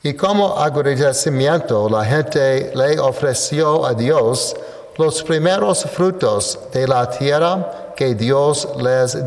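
A man in his sixties, a priest, reading the gospel and preaching at Mass into a lectern mic in a church. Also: medium pitch. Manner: slow, deliberate reading from a book. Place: church sanctuary, indoors. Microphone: stand mic at a lectern. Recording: reverberant church sound.

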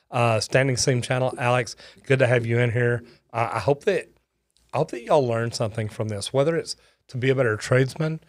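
An older man hosting a casual livestream, folksy and friendly, on a close boom mic in a small studio. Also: dry recording. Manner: folksy, thanks viewers by name. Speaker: host. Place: studio with shelves.

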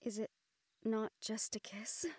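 A woman's voice: a little high, monotone, a little slow, no room sound. A woman playing a shy, sweet, self-conscious young nephilim woman in love, speaking hesitantly and tenderly in a romantic audio roleplay. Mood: tender, hopeful. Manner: hesitant, tender, breathy hushed passages.

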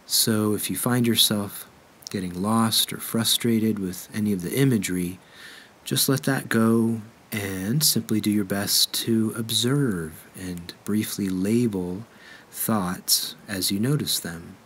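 A man guides a meditation in a fairly low voice. He speaks very slowly and gently, leaving measured pauses between phrases. A faint low rumble sits under the recording.

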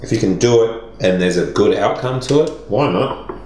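Deep-voiced laid-back man. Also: normal speed, a little mellow. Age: young adult. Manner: laid-back, dry humor. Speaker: cooking show co-host. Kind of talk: casual cooking demo banter. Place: home kitchen, indoors. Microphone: camera mic at mid distance. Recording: echoey kitchen with faint background noise.

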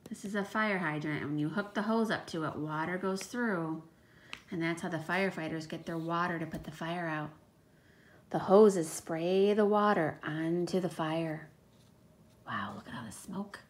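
A woman's voice: fairly low pitch, moderate pace, a little hiss or hum. A woman teacher reading a picture book to young children, speaking in an emphatic, child-directed way with questioning asides. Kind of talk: read-aloud storytime with explanatory asides.

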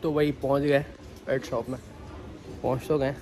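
A man's voice: medium pitch, level tone, normal speed. A young man vlogging on a phone held at arm's length, chatting to viewers outdoors and on a train. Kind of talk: casual travel vlog narration. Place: outdoors on city streets and on a train. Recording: loud music under the voice.

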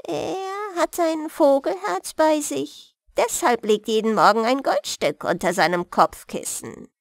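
A woman voicing a scheming, menacing old fairy-tale witch who commands in a drawn-out, threatening way. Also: high-pitched, creaky, no echo, clean studio sound, voice pushed up. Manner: slow, drawn-out, threatening delivery.

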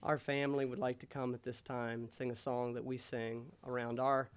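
An American man in his thirties preaching a sermon as a pastor in a measured, pausing way. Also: mid-range voice, level tone. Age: 30s. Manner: measured, pausing, rhetorical questions. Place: church sanctuary, indoors. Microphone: gooseneck pulpit microphone.

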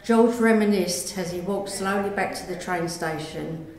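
A woman's voice: fairly low pitch, moderate pace, a little mellow. A woman reading her own short story aloud as scripted narration. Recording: echoey room with background noise.

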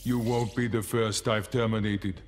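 A man acting a stoic killer robot in a video game, speaking in flat, terse, mechanical lines. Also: on the low side, a little slow, lightly acted. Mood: cold, flat. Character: stoic, menacing humanoid killer robot, adult male. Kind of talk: video game character dialogue. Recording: long reverb, loud effects noise, clicks.

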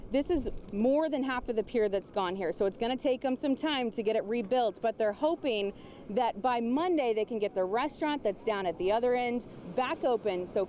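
A woman news reporter with a fairly high voice delivers an emphatic, projected field report. She is outdoors, with light ambient noise around her. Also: darkish tone. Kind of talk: live broadcast news reporting. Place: outdoors on a beachfront pier.

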